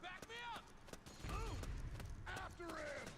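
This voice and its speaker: Video game soldier shouting terse orders, super high pitched yells, gunfire around. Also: male voice, expressive, slow pace, full character voice. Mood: urgent, commanding. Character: urgent male soldier yelling battle orders. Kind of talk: video game combat barks.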